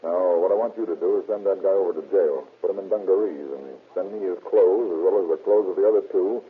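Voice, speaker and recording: An actor playing a confident, methodical police chemist in an old radio drama, precise and matter-of-fact. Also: male voice, deep voice, fast, slightly rough. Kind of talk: old-time radio acting. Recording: muffled, scratchy old radio recording.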